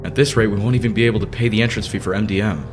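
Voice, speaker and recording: A serious teenage boy idol acted by a man speaks in a low voice with formal, measured phrasing. He is proud and quick to feel slighted, as in an anime dub. Loud music plays underneath. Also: fairly even intonation, close to an everyday voice. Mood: frustrated. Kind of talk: anime fan dub acting.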